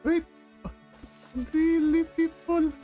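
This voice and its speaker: A woman's voice: very high-pitched, monotone, extremely slow, dull audio, faint music behind. A woman streaming a video game with casual live commentary, talking to herself in short repeated phrases.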